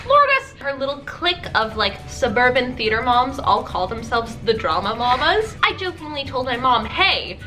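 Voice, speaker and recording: Lively, high-voiced young American woman. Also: normal speed, hardly any pauses, faint music behind. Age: young adult. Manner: animated, acts out quoted reactions. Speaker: video host. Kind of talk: casual comedic storytelling with a friend.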